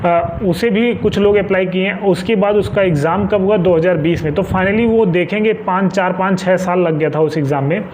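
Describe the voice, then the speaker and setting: A man's voice: high voice, moderate pace, few pauses, a little mellow. A man from northern India giving informal advice to viewers as an exam guidance presenter, insistently repeating key points for emphasis. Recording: muffled lo-fi with faint background noise.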